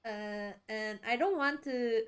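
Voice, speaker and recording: A woman giving casual live gameplay commentary, giggly and halting, often stumbling over and repeating her words. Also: a little high, clean tone. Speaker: game streamer.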